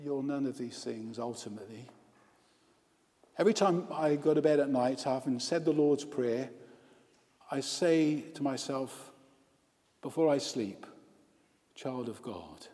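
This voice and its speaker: A male priest and hospital chaplain preaching a baptism sermon, slow and emphatic, with repeated lists. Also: medium pitch, warm tone. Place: church with long reverb. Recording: reverberant church hall.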